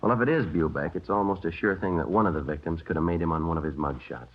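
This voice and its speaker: A very deep-voiced American detective speaks in clipped, deadpan lines. He is weary and methodical, as in an old radio crime drama, on a dull recording. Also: quick pace. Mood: resigned. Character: terse, weary, methodical male police detective. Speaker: lead actor and narrator.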